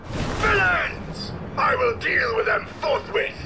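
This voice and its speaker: A man's voice: very high voice, expressive, takes it slowly, vocal fry, sharp tone, a little hiss or hum, exaggerated voice. A man playing an ancient, gallant robot knight captain in a video game, with pompous, archaic, theatrical speech. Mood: stern, challenging.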